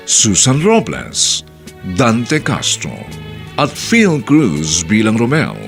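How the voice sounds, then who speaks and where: Filipino guy announcing a radio drama, slow, hugely animated tone, soft music under him. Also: mid-range voice, gravelly. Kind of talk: scripted dramatic radio narration.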